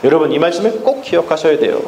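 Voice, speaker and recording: A male pastor preaching a Sunday sermon in a church, speaking emphatically and often turning to address the congregation directly, slowing down to stress key phrases. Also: on the high side, animated, mellow, a little hiss or hum. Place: church sanctuary. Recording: echoey church with faint ambience.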